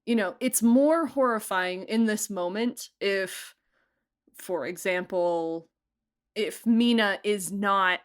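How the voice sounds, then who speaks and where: A woman's voice: mid-range voice, a bit bright. A young woman who produces and directs, chatting in a podcast interview, laughing often and talking with lots of fillers and restarts.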